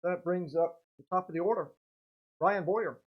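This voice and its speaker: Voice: medium pitch, moderate pace, breathy edge, highs cut off. A commentator calling a live baseball game in a casual, folksy, conversational way, with short exclamations between bits of chat.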